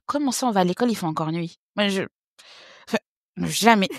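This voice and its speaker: A woman in her thirties from French Guiana, a podcast guest telling stories in a lively way, laughing and acting out what others said. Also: mid-range voice, brisk, dry recording, clean studio sound. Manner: lively, laughing, acts out other people's remarks. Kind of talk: casual interview storytelling.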